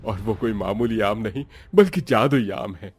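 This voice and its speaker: A man playing a humble, devout middle-aged farmer and father in an animated moral story, speaking earnestly with exclamations. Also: fairly low pitch, moderate pace, breathy edge. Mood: earnest, insistent. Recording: faint music behind.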